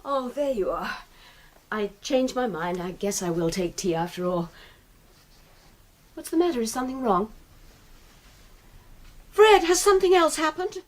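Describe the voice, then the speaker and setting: An anxious lady in a radio mystery. Her voice is high and very animated in pitch, yet she takes her words slowly, with a fluttery air. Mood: anxious, searching. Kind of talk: radio mystery drama acting.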